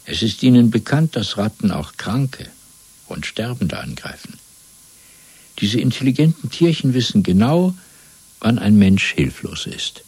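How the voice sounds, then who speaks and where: Very husky, low-voiced cold interrogator. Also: male voice, very animated, a little mellow, no room sound, heavily acted. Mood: cold menace. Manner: measured, clinical, menacing. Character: cold, methodical male interrogator and torturer of a totalitarian state. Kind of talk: 1970s German radio play acting.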